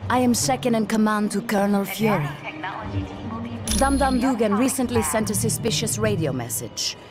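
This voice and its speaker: A woman's voice: mid-range voice, lightly acted. A woman playing a cool, formal female spy, second in command, giving a mission briefing in a video game. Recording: loud music behind the voice.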